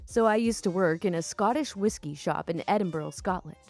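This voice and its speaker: A young woman reading online stories aloud and commenting between them, chatty and quick to laugh, with casual swearing. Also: lowish voice, a little mellow, soft background music. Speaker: storytime YouTuber.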